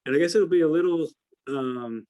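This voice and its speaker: A man's voice: fairly high pitch, normal speed, mellow, no echo, lo-fi sound. An American man giving informal analysis as an investment adviser answering questions on a call, hesitant and hedging as he thinks aloud.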